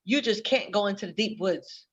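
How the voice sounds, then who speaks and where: A woman's voice: medium pitch, moderate pace, husky, close to an everyday voice. A woman playing a friendly, helpful young librarian in a readers theater drama. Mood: chatty, confiding. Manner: helpful, chatty. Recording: slightly dull conference-call audio.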